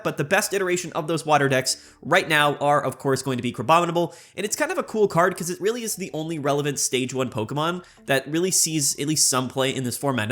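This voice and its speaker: A young man with a cold hosting his channel, giving quick, enthusiastic tier-list commentary on a card game with plenty of fillers. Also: fairly high pitch, a bit bright. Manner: rapid, enthusiastic, many fillers. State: sick, sniffly. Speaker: channel host.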